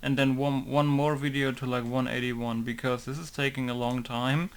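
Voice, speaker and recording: A man's voice: medium pitch, little pitch movement, normal speed, clear, resonant tone. Man muttering while modding a game. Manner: thinking aloud, repeating test words. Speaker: game modder. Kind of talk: casual commentary while working.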